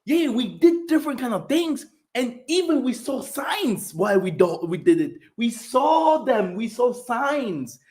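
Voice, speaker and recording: An older man whose first language is German, hosting a rambling, animated stream and reading Bible verses aloud, clearing his throat often. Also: very high voice, measured pace, hardly any pauses. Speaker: channel host. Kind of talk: livestream monologue with scripture reading.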